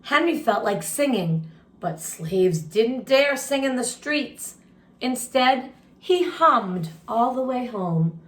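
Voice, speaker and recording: A woman reading a children's picture book aloud expressively, pausing to ask listeners questions and share reactions. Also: a little high, expressive. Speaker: story time host, read-aloud teacher. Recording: roomy, echoing room sound.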